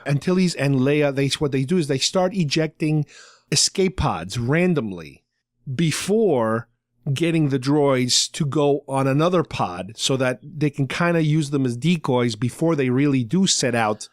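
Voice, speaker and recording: A man's voice: medium pitch, expressive, measured pace, dry recording, studio quality. A man hosting a podcast, casually retelling a story in a chatty fan discussion style. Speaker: podcast host.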